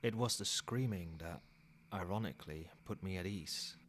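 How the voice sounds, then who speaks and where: A man playing a centuries-old vampire sire in an ASMR roleplay, a soothing, possessive caretaker who speaks softly in measured, formal phrases. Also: low voice, slight background noise, close to an everyday voice. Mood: grave, confessional.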